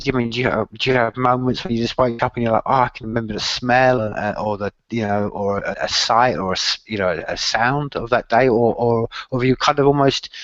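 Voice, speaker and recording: Man, interview host, middle pitch, rambling questions, filler-heavy, dull audio. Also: fairly bright tone, dry recording. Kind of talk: casual conversational interview.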